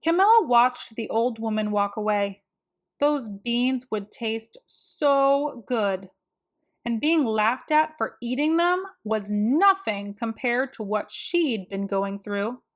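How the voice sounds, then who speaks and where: A woman reads a children's story aloud in a high voice. Her pace is very slow, and her delivery is expressive, made for young listeners.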